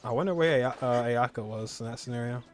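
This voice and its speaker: A man hosting a gaming video, giving casual, laid-back commentary in halting, repetitive phrases. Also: mid-range voice, faint music behind. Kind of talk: casual let's-play commentary.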